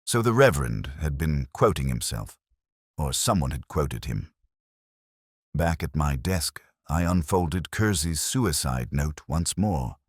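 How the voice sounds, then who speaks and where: A man with an extremely low voice narrates detective fiction in a measured, brooding way. His pitch rises and falls expressively through each literary sentence. The sound is close and dry, with almost no room around it. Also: no room sound. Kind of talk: scripted first-person detective narration.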